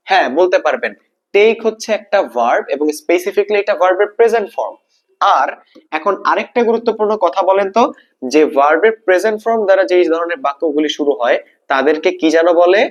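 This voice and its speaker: A man with a Bangladeshi accent teaching a grammar lesson step by step, often asking questions and answering them himself, repeating key points. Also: on the high side. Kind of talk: exam-prep grammar lesson, explaining step by step.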